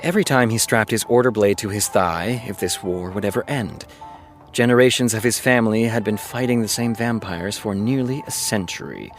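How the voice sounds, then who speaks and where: An actor as a sardonic low-voiced showrunner. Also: male voice, normal speed, soft background music, natural voice. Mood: reading flatly. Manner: sardonic, sighing, incredulous. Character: exasperated, sarcastic male TV showrunner reading fan fiction aloud. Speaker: actor in a scripted audio drama. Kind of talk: reading prose aloud with muttered scornful asides.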